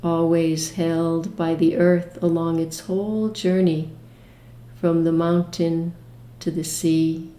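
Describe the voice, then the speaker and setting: A woman leading a guided meditation with imagery, speaking in a gentle, soothing manner with long pauses. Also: lowish voice, little pitch movement, roomy sound.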